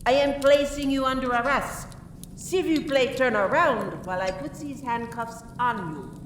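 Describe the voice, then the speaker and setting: Woman acting a slow, high-voiced French inspector, echoey. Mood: stern. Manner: deliberate, formal questioning, peppered with French phrases. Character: French woman police inspector, formal, methodical, firm. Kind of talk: radio play acting. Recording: echoey room from far off, faint noise.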